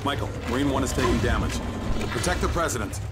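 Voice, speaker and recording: Man acting a game mission guide, grave, urgent, instructive, deliberate delivery, prominent musical score behind. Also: mid-range voice, animated, over loud music, lightly acted. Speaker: mission guide over radio.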